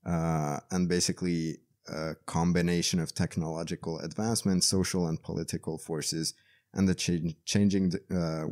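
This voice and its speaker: A young man with a very low, deep voice co-hosts a casual podcast. He talks in a halting way, full of fillers and restarts. Sometimes he reads text aloud. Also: little pitch movement, darkish tone. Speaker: podcast co-host. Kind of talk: casual chat, reading aloud.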